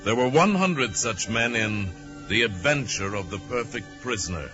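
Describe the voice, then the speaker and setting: Muffled 1940s radio, guy playing calm american hero mystic, deep-ish voice, very expressive, polite. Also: normal speed, lightly acted. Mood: solemn, dramatic. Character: calm, courteous wealthy young American crime-fighting mystic hero. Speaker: radio drama lead actor. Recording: dull vintage radio recording, music.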